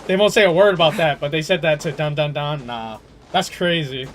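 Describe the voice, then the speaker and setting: High-voiced young man streaming games. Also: brisk, soft background music. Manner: animated, slangy, swears freely. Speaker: game streamer. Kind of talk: casual livestream chat.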